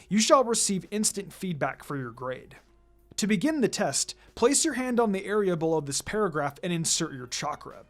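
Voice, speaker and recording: A narrator reading a story aloud in an expressive, dramatic audiobook style. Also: medium pitch. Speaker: fan fiction reader, narrator. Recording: music behind the voice. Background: background music.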